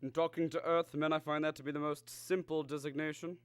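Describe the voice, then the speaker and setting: A man playing a calm, superior alien visitor in a radio drama, speaking formally and with measured condescension. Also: a little high, smooth, no room sound, natural voice. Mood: calm certainty. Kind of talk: old-time radio drama acting.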